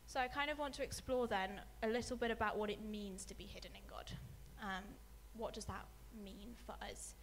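A woman preaching a sermon, speaking softly with many ums and letting her phrases fade away quietly. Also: mid-range voice, fairly even intonation, moderate pace, hardly any pauses, slight background noise. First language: not English. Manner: soft-spoken, many ums, trails off quietly at phrase ends. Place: church hall with a screen.

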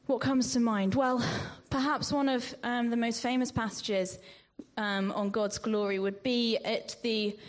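A woman preaching a sermon in a measured way, with ums and restarts, sometimes dropping to a whisper on scripture. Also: a little high, fairly even intonation. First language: not Greek. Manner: slow, measured delivery with frequent ums and restarts.

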